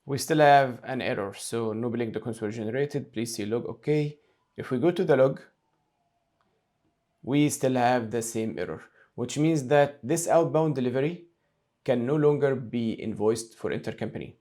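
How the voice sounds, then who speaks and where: A man narrating a screen-share software tutorial as an instructor, explaining each step methodically and repeating key points. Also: mid-range voice, moderate pace.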